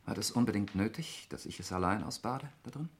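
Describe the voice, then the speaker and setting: An actor playing an irritable, suspicious lawyer in a 1960s German radio murder mystery, speaking in a precise, argumentative way. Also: male voice, on the low side, animated, fairly quick. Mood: irritated. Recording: noisy 1960s radio recording with clicks.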